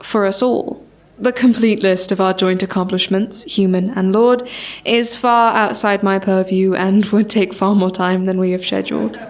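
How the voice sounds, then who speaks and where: An actress playing a reverent medical official giving a measured, deferential press conference speech. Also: female voice, medium pitch, fairly even intonation, natural voice. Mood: reverent, proud. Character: reverent, devoted female doctor serving a lord.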